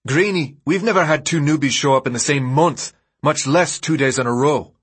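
A man performs an incredulous, excited teenage boy from an audiobook novel. The voice is pitched up from plain narration and husky, with slangy, emphatic delivery. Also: normal speed. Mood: stunned excitement.

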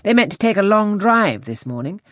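A woman reading a classic novel, voicing a genial, teasing gentleman naval captain in a hearty, animated manner. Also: mid-range voice, normal speed, no room sound, clean studio sound, voice pushed up, close to an everyday voice. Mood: cheerful, teasing. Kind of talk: classic novel audiobook character voice.

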